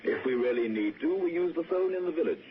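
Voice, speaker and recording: An actor playing a gentle, wistful, courteous lord in 1960s radio drama, speaking with hesitant, softly persuasive politeness. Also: male voice, a little high, animated, quick pace, over a noisy crowd, lightly acted. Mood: hesitant, polite. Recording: dull, noisy 1960s radio recording.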